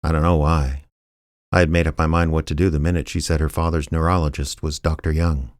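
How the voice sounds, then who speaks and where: An actor playing a laconic small-town private investigator, narrating his case in a deadpan, understated radio drama style. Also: a bass voice, brisk, dry recording, clean studio sound. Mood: wry, measured. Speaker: narrator and lead character.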